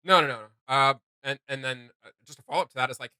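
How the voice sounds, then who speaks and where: A man hosting a reaction video, giving casual, animated fan commentary. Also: mid-range voice, fast, smooth, no echo.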